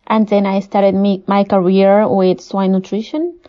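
A woman from Peru with a Spanish accent, a poultry nutritionist giving measured, formal, prepared answers as an interview guest. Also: medium pitch, little pitch movement, mellow. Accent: Peruvian Spanish. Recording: dull, muffled lo-fi audio.

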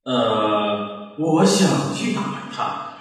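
A man's voice: mid-range voice, big pitch swings, drawn-out words, fairly bright tone, heavily acted. Echoey, reluctant old-fashioned king acted by a man. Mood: reluctant, whining. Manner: hesitant, stammering. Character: reluctant king with a bad back, making excuses. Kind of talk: children's radio drama acting. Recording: echoey hall, distant, faint music.

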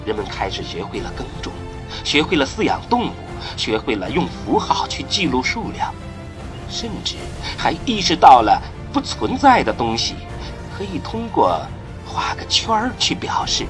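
A man giving expressive, dramatic scripted narration for a radio drama, phrased with deliberate pauses. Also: mid-range voice. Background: music.

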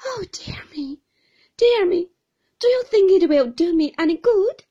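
A woman reading an audiobook, voicing a timid young widowed mother who speaks in a high, trembling, helpless way. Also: sing-song, unhurried, mellow, lo-fi sound.

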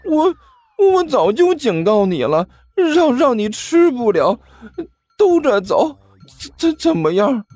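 A cheeky, cornered warrior of justice in a comic audio story. The whiny voice is very high and stutters through defiant retorts. It draws words out slowly with lively swings in pitch. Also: male voice, no echo, faint music behind, full character voice. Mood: smug, defiant.

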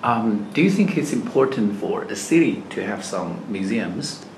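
A man's voice: fairly low pitch, lively intonation, moderate pace, a little mellow. Man examining in an echoey room. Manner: brief prompts, frequent backchannel mm-hmm. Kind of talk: mock speaking-test interview. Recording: echoey room with clear noise.

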